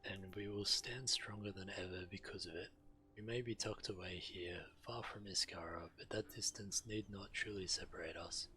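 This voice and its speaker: Deep voiced walrus tribe warrior, proud n solemn, punchy edge, game ambience behind. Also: male voice, fairly even intonation, normal speed, sharp tone, a little hiss or hum, low-quality recording. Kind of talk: video game character dialogue.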